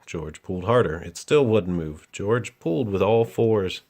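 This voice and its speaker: A man's voice: on the low side, animated, measured pace, a little mellow. A man reading a children's picture book aloud as a storyteller and host.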